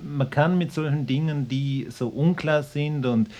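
A man acting an evasive, anxious small-town history teacher in a radio mock documentary, speaking haltingly and repeating himself. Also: a little high, darkish tone, close to an everyday voice. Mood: defensive.

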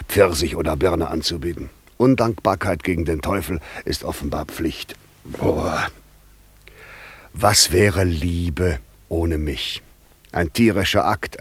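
An actor playing a mocking, worldly devil in serpent form, husky and insinuating, in a theatrical radio play. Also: male voice, sits low, dry recording, exaggerated voice. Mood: wry grumbling. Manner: sardonic, insinuating.

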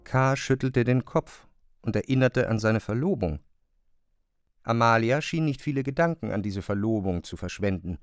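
A male narrator in a literary radio play. His voice sits at a middle pitch and is recorded dry and close, with scripted storytelling phrasing. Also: dry recording.

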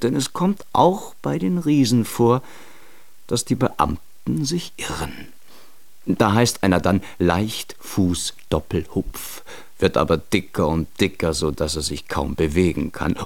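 A man narrating a scripted children's story as a radio drama narrator, in a measured storytelling manner. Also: deep voice, a little mellow, no room sound.

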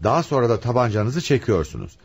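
An actor playing a brisk, authoritative police commissioner interrogating suspects in a radio play. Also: male voice, medium pitch, very animated, breathy edge. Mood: confident. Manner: commanding, deliberate, theatrical.

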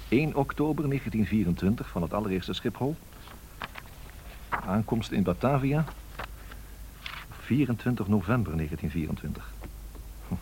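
An actor playing a nostalgic narrator who thinks aloud reflectively, pausing, in a radio documentary drama. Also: male voice, low-pitched, darkish tone, rough recording. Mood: reflective, reminiscing. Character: musing, nostalgic male narrator looking back on the 1930s. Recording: faint background noise and clicks.

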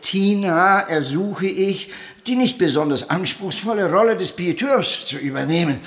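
A man's voice: sits high, unhurried, fairly bright tone. An actor playing a flamboyant, irreverent 102-year-old man of the theatre in a radio play, announcing grandly. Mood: pompous, solemn. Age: over 100. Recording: echoey room.

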